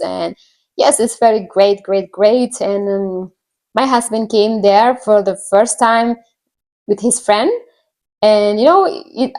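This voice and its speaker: A woman with an Indonesian accent speaks in a medium-pitched voice. She talks casually to her viewers as a vlogger sharing a personal story. Her phrasing is halting, as she searches for words and repeats phrases. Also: a little mellow.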